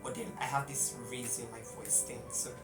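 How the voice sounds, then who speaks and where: A man's voice: medium pitch, level tone, normal speed. A young man with a Nigerian accent, earnestly recalling family memories in an interview. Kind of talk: reflective interview answers. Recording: roomy echo, distant mic, loud music behind.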